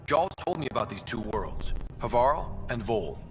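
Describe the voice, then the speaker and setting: A young expedition leader in a sci-fi game. His mid-pitched voice is earnest and conciliatory, with a casual, easygoing delivery and faint music underneath. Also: very animated, normal speed. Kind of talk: video game cutscene acting.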